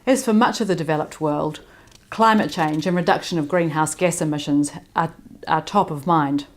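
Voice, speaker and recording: A woman with a New Zealand accent delivers a prepared conference talk from a distance. Her voice sits low, and she paces herself slowly and deliberately. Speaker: conference speaker. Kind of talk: prepared presentation read by remote video. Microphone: recorded remotely, not in person.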